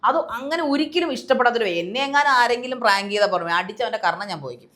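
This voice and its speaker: Woman on a news discussion panel, talks really fast, emphatic, drops in english words. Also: a little high, lively intonation, a bit bright. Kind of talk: conversational debate commentary.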